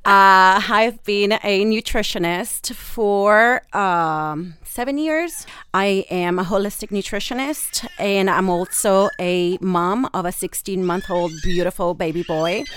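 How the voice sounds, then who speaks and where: A woman's voice: mid-range voice, takes it slowly, fairly bright tone, clear, resonant tone. A woman from Italy with an Italian accent, a native Italian speaker, casually introducing herself as a guest expert. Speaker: guest expert, holistic nutritionist.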